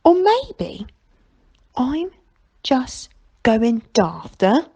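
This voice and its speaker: Woman voicing a folksy, animated fairground stallholder man. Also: sits high, extremely slow, husky, exaggerated voice. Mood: wistful. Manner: folksy dialect speech, marvelling.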